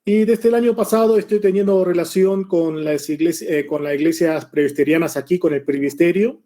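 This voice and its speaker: A man in his forties, born in Korea and raised in Argentina, speaking Spanish with a mix of Argentine and Mexican accent, teaching a Bible lesson over a video call in a relaxed, chatty way with many hesitations. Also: on the high side, brisk. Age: 40s.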